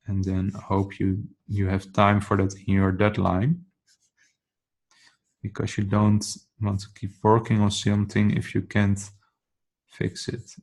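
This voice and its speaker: A man's voice: lowish voice, creaky. A man with a Dutch accent, a non-native English speaker, thinking aloud while he sketches in a casual drawing tutorial. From Netherlands.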